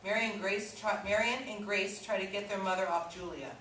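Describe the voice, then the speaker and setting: A woman's voice: medium pitch, fairly even intonation, normal speed, a bit bright. Woman reading stage directions, very echoey. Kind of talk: scripted narration at a staged reading. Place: indoor staged reading, recorded from far off. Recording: echoey hall, distant mic, faint noise.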